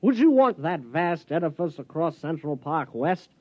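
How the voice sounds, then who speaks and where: A man's voice: a little high, big pitch swings, normal speed, dry recording. A man acting an over-eager, stubborn amateur scientist in a 1950s radio comedy drama, earnest and insistent. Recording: dull, dry old radio recording.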